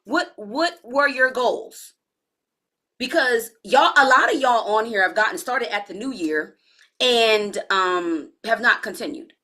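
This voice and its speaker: Woman in her 40s, slightly high voice, live health coach, loose and talkative with her viewers. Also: slow pace. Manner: chatty, talks straight to viewers in chat; relaxed, slangy delivery. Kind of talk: live-streamed coaching talk.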